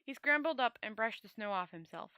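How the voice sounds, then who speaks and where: A woman's voice: fairly high pitch, animated, fairly quick, pushed and bright, no echo. A woman storyteller reading a fantasy novel aloud expressively, often stumbling over words and rereading phrases.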